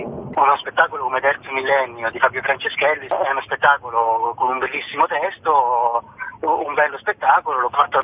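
A man's voice: mid-range voice, breathy edge. A man talking as a theatre artist in a radio interview, speaking spontaneously and discursively, reformulating as he goes. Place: phone call to a radio show. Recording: noisy, tinny phone line.

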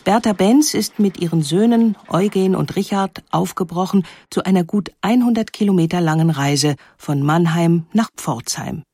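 A woman narrating a scripted historical story in a measured, articulate way. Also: fairly low pitch, warm tone, slightly rough, no echo, studio quality. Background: music under the voice.

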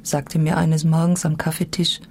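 Low, creaky, embittered sect dropout. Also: female voice, level tone, normal speed, darkish tone. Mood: flatly hurt. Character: embittered woman who left a sect, warning others.

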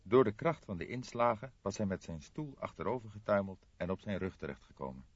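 A man's voice: fairly low pitch, animated, fairly quick, breathy edge. A man narrating a crime novel as a scripted, dramatic audiobook reading. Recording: roomy echo, slightly muffled audio.

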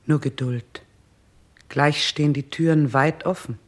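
A woman playing a stern, composed queen in a 1960s German radio play, speaking in a measured, solemn, commanding way. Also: low voice, fairly even intonation. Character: stern, composed queen guiding a king toward death.

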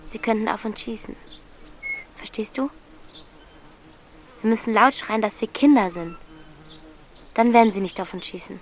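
Bossy teenage girl, acted by a woman, high-pitched. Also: normal speed, pauses often, close to an everyday voice. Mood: bossy, urgent. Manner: blunt, commanding, teasing. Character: bold, bossy, streetwise thirteen-year-old girl in wartime. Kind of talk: German radio play acting.

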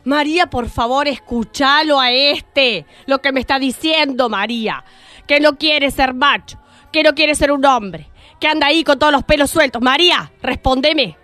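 A high-pitched, exasperated Argentine father who yells, scolds and pleads, as a woman acts him out. His voice stays dry and close, with faint music beneath. He is a radio comedy figure, worn out and grumbling. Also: normal speed, no room sound. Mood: pleading. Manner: shouting, scolding, fed up. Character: exasperated middle-aged male carpenter father.

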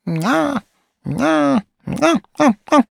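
A silly young puppy from a storybook, yapping in a very high, whiny voice. It sounds timid and playful. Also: male voice, very animated, very slow, vocal fry, no room sound, full character voice.

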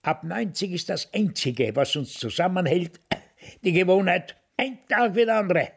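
An actor playing a cynical, grumbling widower of about ninety in a radio play, with a husky, gravelly Austrian voice. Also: male voice, high-pitched, expressive, normal speed, exaggerated voice. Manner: grumbling, blunt, dismissive.

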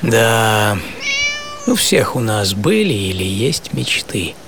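A man acting a hardy, serene seventy-year-old sailor in an audio play monologue, reflective and intimate, with savoring pauses. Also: fairly low pitch, big pitch swings, noisy background, heavily acted. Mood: wistful, earnest. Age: 70s. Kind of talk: first-person dramatic monologue in an audio play. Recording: music behind the voice.

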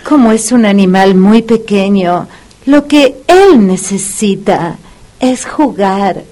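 An actress playing a pretentious, sultry dog whisperer with a Caribbean accent in a comedic radio play, pompous, clinical and coaxing. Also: female voice, medium pitch, lively intonation, takes it slowly, warm tone. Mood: explaining. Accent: Caribbean Spanish.

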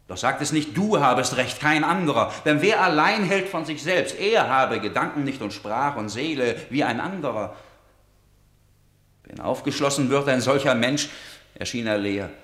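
Earnest defiant prince, echoey room. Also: male voice, medium pitch, a bit bright. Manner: earnest, measured declamation. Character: upright, defiant young prince pleading with his tyrant father. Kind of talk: declamatory classical verse radio drama.

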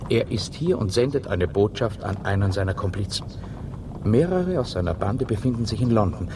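An actor playing a cautious, earnest American detective in a radio drama, explaining things intently. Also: male voice, on the low side, animated, normal speed. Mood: urgent, reasoning. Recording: clear outdoor vehicle ambience noise.

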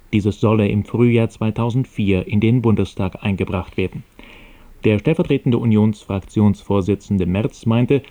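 A man presents the radio news in a low, mellow voice. His delivery is formal and measured, with a neutral tone that keeps to the script.

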